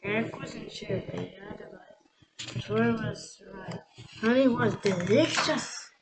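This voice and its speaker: A young reader reading a children's picture book aloud, haltingly, stumbling over and repeating words. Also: medium pitch, crowd noise. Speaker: story reader.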